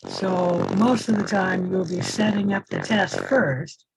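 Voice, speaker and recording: A hoarse man teaching a lab class over a video call, halting with many fillers, his faulty microphone distorting his voice. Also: high voice, huge pitch swings, noisy background. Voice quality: distorted mic that sounds like Darth Vader. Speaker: lab instructor. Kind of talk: online class lecture. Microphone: faulty computer mic on a video call.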